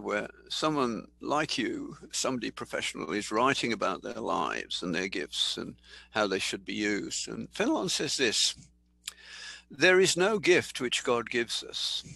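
An older man giving a sermon in a thoughtful, conversational way, slowing down to read scripture aloud. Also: medium pitch, creaky, sharp tone, no room sound. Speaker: preacher. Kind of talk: sermon teaching.

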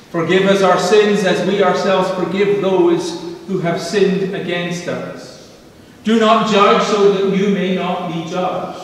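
A middle-aged man preaching a sermon from a church pulpit, slow and measured, rising for emphasis on repeated phrases. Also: on the high side, a little hiss or hum. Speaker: pastor. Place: church sanctuary, standing at a pulpit. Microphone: pulpit microphone heard from a distant camera. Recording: echoey church hall from far off.

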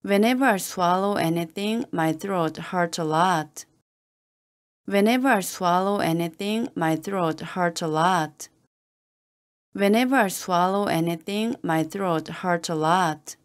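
A woman reading short patient sentences slowly and clearly, repeating each one the same way for learners to copy. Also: fairly low pitch. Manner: calm, even and carefully spoken, each sentence said the same way again and again. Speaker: English teacher reading model sentences. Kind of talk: slow, deliberate repeated phrases for learners to copy.

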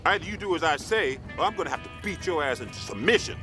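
An actor playing a gruff, no-nonsense army sergeant in a video game, with a commanding manner. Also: male voice, a little high, animated, quick pace, over music, natural voice. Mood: stern.

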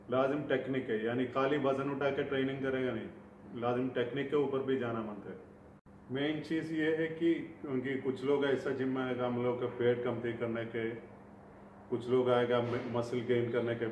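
A man's voice: mid-range voice, darkish tone. A middle-aged man gives an informal instructional talk to camera, explaining in simple, repetitive phrases. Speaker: fitness vlogger. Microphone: camera a few feet away. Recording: echoey room, distant mic, faint noise.

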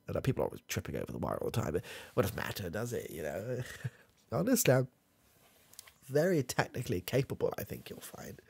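A man's voice: lowish voice, vocal fry, a little mellow, slightly rough, no room sound, full character voice. A man doing a muddled, proud British grandad. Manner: rambling, hesitant, full of fillers and restarts. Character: muddled, proud old grandad, clueless with technology. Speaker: ASMR comedy creator. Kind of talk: comedy ASMR roleplay. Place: small dim room with coloured lights. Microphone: close mic, face near camera.

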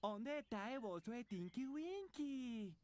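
An actor voicing a gentle, playful toddler-like creature in a preschool TV show, repeating short phrases in simple baby talk. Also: medium pitch, lively intonation, slow pace. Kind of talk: preschool children's TV character acting. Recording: children's show music behind the voice.

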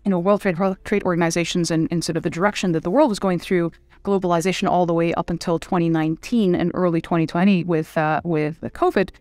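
A woman's voice: on the low side, fairly even intonation, fast, hardly any pauses, a little mellow, no echo, faint music behind. Thoughtful woman, dry studio sound. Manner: thoughtful, many fillers and restarts. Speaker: private equity managing director, guest. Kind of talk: conversational interview. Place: studio set with city backdrop. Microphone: desk microphone.

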